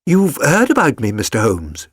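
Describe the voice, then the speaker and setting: A man's voice: medium pitch, huge pitch swings, normal speed, husky, no echo, studio quality, voice pushed up. A man voicing a chatty, flustered young working-class London woman in a storybook reading, rambling earnestly and eagerly. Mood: startled.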